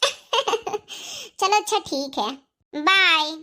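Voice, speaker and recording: A woman's voice: extremely high pitch, very animated, extremely slow, pushed and bright, full character voice. A woman voicing a vain, defiant young schoolteacher wife in a cartoon comedy, with a sassy, haughty manner. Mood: coy.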